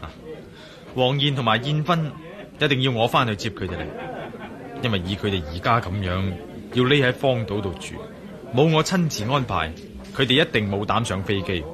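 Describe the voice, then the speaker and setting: A man's voice: mid-range voice, animated, moderate pace, long pauses, a crowd in the background, lightly acted. An actor playing a determined male adventurer in a Cantonese radio drama, speaking firmly and decisively with a Hong Kong accent. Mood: serious, firm. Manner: firm, urgent, decisive. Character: determined, commanding male adventurer on an urgent mission.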